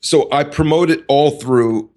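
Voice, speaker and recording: A man speaking as a podcast guest, talking in a deliberate, halting way with many pauses and restarts. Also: a little high, darkish tone. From United States. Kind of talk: casual conversational interview.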